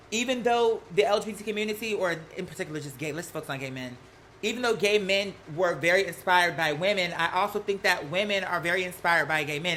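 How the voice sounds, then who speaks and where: A man's voice: sits high, sharp tone, slight background noise. An American man hosting a livestream, chatting casually and giving opinions in an emphatic, slangy, conversational way. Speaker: livestream host. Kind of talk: casual opinionated chat to live viewers.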